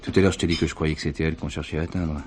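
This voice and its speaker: An actor playing a calm, shrewd police commissioner in a French stage thriller, questioning with deliberate politeness. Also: male voice, rumbling low. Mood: calm, serious. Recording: 1970s stage play recording, some hiss.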